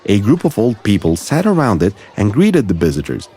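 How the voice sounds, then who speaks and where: Male storybook narrator, low and mellow, with animated intonation for young listeners. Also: a little fast, breathy edge, faint music behind. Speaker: audiobook narrator. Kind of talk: scripted children's story narration.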